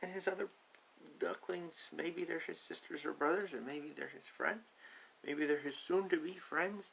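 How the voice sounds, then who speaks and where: Guy reading a kids book slowly, bit gravelly, expressive, chatty asides, slight noise behind. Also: on the high side, low-quality recording. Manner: expressive, repeats and explains simply. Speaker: storybook reader. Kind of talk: read-aloud for young children with asides.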